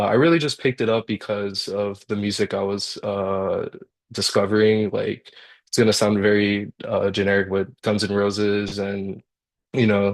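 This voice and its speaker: A young man from Colombia, a guitarist and songwriter, talking casually in a workshop over a video call and pausing often with fillers and restarts. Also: on the low side, creaky. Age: young adult. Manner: halting, many fillers and restarts.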